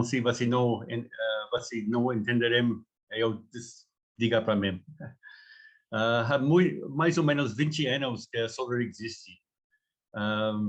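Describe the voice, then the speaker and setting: A man from Canada, a native English speaker with a Canadian accent, talking informally as a company founder in halting, word-searching non-native speech. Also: mid-range voice.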